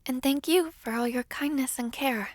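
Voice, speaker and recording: A woman playing a gentle, modest young princess in an audio roleplay, soft and breathy, speaking politely and hesitantly. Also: high voice, moderate pace, dry recording. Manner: soft-spoken, hesitant, polite, formal diction.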